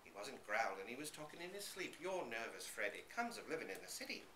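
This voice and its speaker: An old country caretaker from a storybook, voiced by a man, speaks in a homely, unworried way. His pitch rises and falls freely, and the room gives some echo. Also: very animated, a little fast, sharp tone. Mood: amused, teasing. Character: easygoing old male country caretaker, placid father. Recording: roomy live reading, slight background noise.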